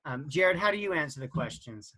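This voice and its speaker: A man in his forties, the team captain, introducing his family team in a halting way with many fillers. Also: fairly high pitch, lively intonation, pushed and bright, rough recording. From Idaho, USA. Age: 40s.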